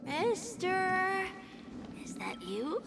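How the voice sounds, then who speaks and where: Very high, dreamy little girl. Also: big pitch swings, very slow, gravelly, heavy background noise, heavily acted. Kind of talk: video game voice acting.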